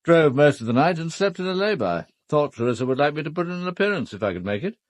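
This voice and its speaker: An actor playing a gruff, conservative British officer and titled husband in an audio drama, clipped and blunt. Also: male voice, on the high side, sing-song, fast. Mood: brisk, hearty. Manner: clipped, blunt, dropping first words.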